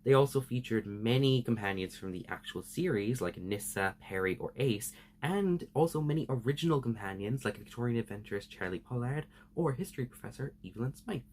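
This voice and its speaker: A man's voice: medium pitch, animated, a little fast, few pauses, a little hiss or hum. A man hosting a semi-scripted explainer vlog, speaking in an earnest, emphatic and enthusiastic way. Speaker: video host.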